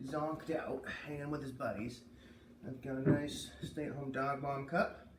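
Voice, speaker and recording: A man hosting a read-aloud, narrating a children's book. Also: medium pitch. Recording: echoey room, distant mic, faint noise.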